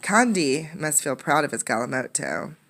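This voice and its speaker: Low-pitched woman reading a storybook, slightly creaky. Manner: expressive narration, pausing to pose simple questions. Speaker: teacher reading a picture book aloud. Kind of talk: storybook read-aloud with questions to children.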